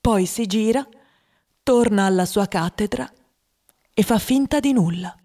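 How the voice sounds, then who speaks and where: A woman's voice: medium pitch, measured pace, warm tone. An actress playing a wistful, dreamy, overweight young boy who confides his story in a radio drama monologue. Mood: wistful, confiding.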